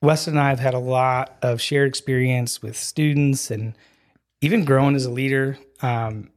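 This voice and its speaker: A man in his forties, originally from Wisconsin, tells stories as a podcast guest. He is animated and laughs easily, filling his talk with "you know." His voice sits at an ordinary middle pitch for a man. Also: moderate pace. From Wisconsin, US Midwest. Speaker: guest, ministry program leader. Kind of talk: casual storytelling in a podcast chat.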